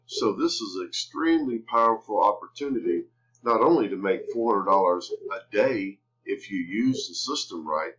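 Low-voiced man pitching online, slightly dull audio. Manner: repeats phrases, tags sentences with "right?". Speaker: online marketer promoting a product. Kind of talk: casual sales pitch over a screen-share tutorial. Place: indoors at a computer.

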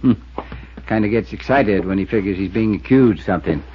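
An actor playing a laconic, easygoing small-town constable in 1950s radio drama, folksy and sparing with words. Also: male voice, lowish voice, brisk, lightly acted. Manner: folksy, terse, drops words. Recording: dull, noisy 1950s radio recording.

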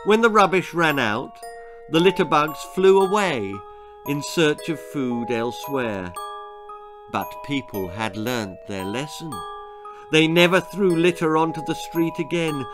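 An older man tells a spooky, funny children's tale. He is deliberate and theatrical, taking his time to build suspense, with music clearly playing behind him. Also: on the high side, expressive. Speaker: author reading his own story. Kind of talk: dramatic children's horror-comedy storytelling. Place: book-lined room indoors.

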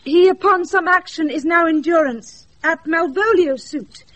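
A woman's voice: very high-pitched, measured pace, a bit bright, no room sound. An actress playing a devoted young woman disguised as a page in a British radio Shakespeare, earnest and lyrical, on a noisy off-air recording. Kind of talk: 1980s radio Shakespeare acting.

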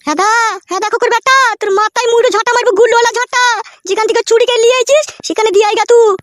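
A woman's voice: very high-pitched, steady tone, very fast. A woman voicing a betrayed, scolding village wife in a comedy cartoon, with a rural West Bengal accent, ranting and wailing. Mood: furious. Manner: nagging, cursing, wailing rants. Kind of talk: comedy cartoon dub, melodramatic quarrelling.

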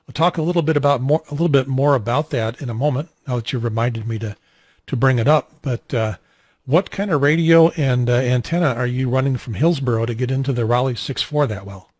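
An older man hosting an amateur radio net, explaining technical matters conversationally in a halting, methodical way. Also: mid-range voice, darkish tone, no room sound. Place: small home radio room, indoors. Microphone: handheld radio mic held at mouth, boom mic nearby.

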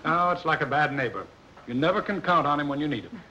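An actor playing an eloquent colonial Virginia patriot in a theatrical 1930s film style, declaiming with precise diction. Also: male voice, a little high, animated, fast, sharp tone, muffled recording. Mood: genial, reassuring. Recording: noisy 1930s film soundtrack.

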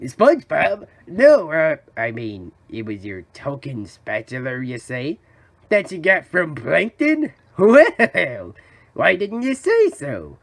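A man's voice: fairly high pitch, huge pitch swings, slow pace, creaky, a bit bright, no echo, exaggerated voice. A man voicing a greedy old crab boss in a cartoon, crafty and bossy, with salty sailor talk. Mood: suspicious, crafty. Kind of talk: cartoon fan voice acting.

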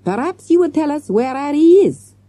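Woman playing a self-assured French police inspector, lively intonation. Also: high-pitched, normal speed, no echo, voice pushed up. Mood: assured, firm.

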